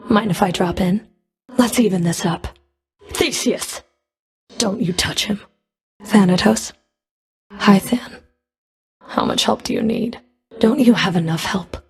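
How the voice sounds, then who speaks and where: A woman voicing a stern, sardonic underworld Fury in a video game, menacing and flirtatious, with a creaky voice and cool, clipped, commanding taunts. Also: medium pitch. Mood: taunting, then exasperated. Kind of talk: video game character voice lines.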